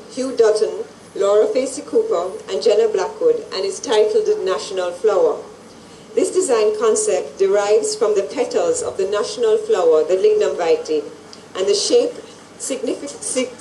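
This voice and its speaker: A middle-aged woman reading a formal scripted presentation at an event in a measured way. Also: mid-range voice, dark tone, roomy sound, distant mic, a little hiss or hum, rough recording. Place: large indoor event hall with stage and audience. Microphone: handheld microphones at a lectern, through PA.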